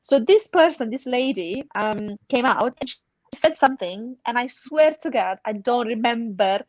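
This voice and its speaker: Young woman w english as second language, highish voice, chatty podcast stories, really dry sound. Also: animated, normal speed, no echo, rough recording. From outside the UK. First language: not English. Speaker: podcast panel member, charity fundraiser. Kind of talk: casual anecdote on a panel podcast.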